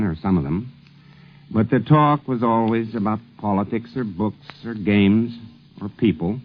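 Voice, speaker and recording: A middle-aged man narrating a radio documentary as its host, in a measured scripted style, on an old 1950s broadcast recording. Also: low voice, phone-quality sound.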